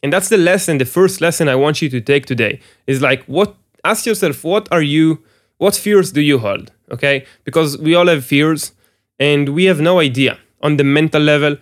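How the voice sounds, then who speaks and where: A man's voice: fairly high pitch, moderate pace. A man hosting a solo podcast, speaking earnestly through throat pain, with frequent restarts, repeated words and questions to the listener. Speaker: podcast host.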